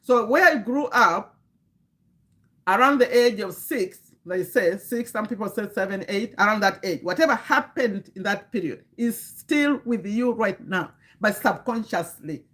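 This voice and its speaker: A woman's voice: medium pitch, lively intonation, a little slow, a bit bright. A woman in her fifties gives an earnest, lecturing livestream talk to her chat, restarting sentences and breaking off to greet viewers. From Uganda. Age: 50s. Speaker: livestream host and community coach.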